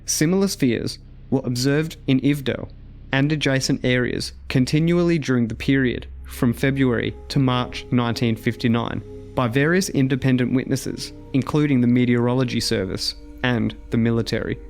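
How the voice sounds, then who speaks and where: A man narrates a scripted documentary for a podcast. His voice sits at a medium pitch for a man, with faint music playing underneath. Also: steady tone, moderate pace.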